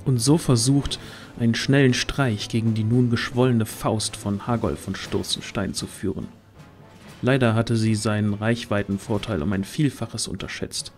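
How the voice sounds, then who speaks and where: A man's voice: lowish voice, steady tone, moderate pace, a little mellow, faint music behind. A man narrating a scripted fantasy audio drama in a literary storytelling manner.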